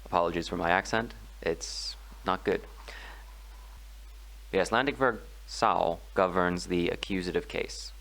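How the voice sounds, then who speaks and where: Male tutor, low voice, unhurried and hesitant delivery, talking through a grammar lesson. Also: vocal fry, a bit bright, low-quality recording. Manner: slow, measured explaining with frequent ums and pauses. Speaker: language tutor. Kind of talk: screen-recorded grammar tutorial.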